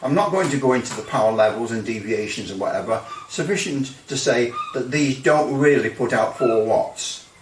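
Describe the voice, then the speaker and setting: A man's voice: mid-range voice, normal speed. A man giving a casual hands-on demonstration of radio equipment, rambling and hesitant with grumbling asides. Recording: roomy, distant mic, faint noise.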